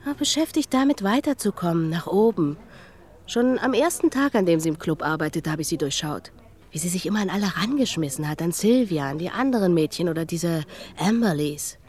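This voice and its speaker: Actress as low-voiced, sardonic bar waitress. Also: female voice, expressive, brisk, a little mellow, natural voice. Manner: sardonic, knowing. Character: sharp-tongued, world-wise former bar waitress. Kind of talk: German radio drama acting.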